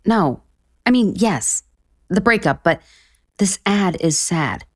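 An actress playing an overwhelmed, sarcastic, foul-mouthed author in an audio romantic comedy. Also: female voice, on the low side, lively intonation, slow. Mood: exasperated. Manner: sarcastic, exasperated.

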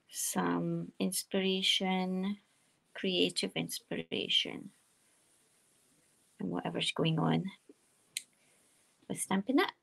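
A woman with a quiet voice, chatting and explaining each step as she demonstrates card making at her craft desk. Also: fairly low pitch, vocal fry, rough recording. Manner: chatty, explaining steps while working.

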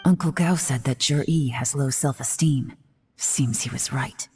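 A woman's voice: a bass voice, unhurried, music in the background. A woman playing a cool, shrewd investigator in a video game, composed and knowing. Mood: cool, watchful.

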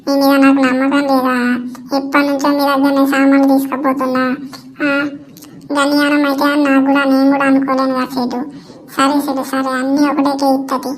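A wily old village grandmother acted by a woman from a comedy cartoon, high-pitched and rapid, with little rise and fall. She wheedles and complains evasively in a Telangana Telugu accent. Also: monotone, soft background music, close to an everyday voice. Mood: placating. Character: wily, pleading elderly village grandmother buying on credit.

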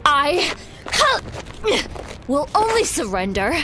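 Woman acting a very high-voiced, defiant space commander. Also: sing-song, slow pace, over music, full character voice, rough recording. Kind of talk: pulp sci-fi audio drama acting.

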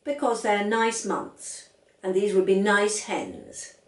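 A woman's voice: mid-range voice, expressive, slow pace, roomy sound, distant mic. A woman voicing a small, determined and scornful little girl in a storybook reading, emphatic and matter-of-fact.

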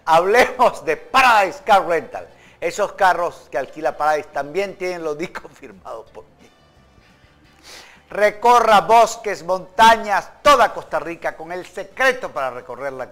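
A man's voice: on the high side, a bit bright. A man in his sixties hosting a TV show from a studio, reading news, then joking his way through ad reads. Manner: jokey, bursts into laughter during ad reads. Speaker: TV host. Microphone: studio mic.

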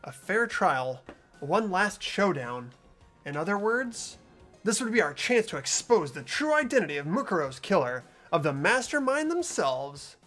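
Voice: mid-range voice, big pitch swings, a little slow, fairly bright tone, soft background music. A performer voicing an earnest, anxious but hopeful teenage boy in a game, with a questioning manner. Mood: puzzled, uneasy. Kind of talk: character voice acting in a game fan dub.

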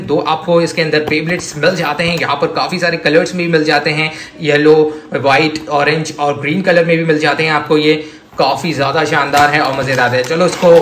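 A man with an Indian accent giving a gushing, enthusiastic toy demonstration as a review host, excitable and repetitive. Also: fairly high pitch. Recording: echoey room with faint noise.

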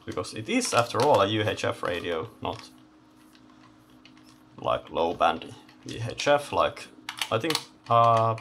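A man giving unscripted hands-on commentary as he takes electronics apart, halting and thinking aloud in short phrases. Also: fairly low pitch, very animated, gravelly, noisy background. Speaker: host of electronics teardown videos. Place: indoor electronics workbench.

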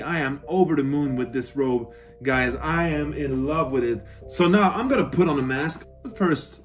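Enthusiastic man with a higher-pitched voice. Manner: enthusiastic, informal. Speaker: collector presenting his costume collection. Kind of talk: casual unboxing review to camera. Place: small cluttered room at home, indoors.

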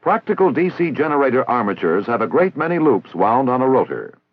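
Male narrator, American, for vintage 1960s instructional film; formal and didactic, husky edge, very dry lo-fi sound. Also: mid-range voice, sing-song, a little fast, dry recording. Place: recorded voice-over.

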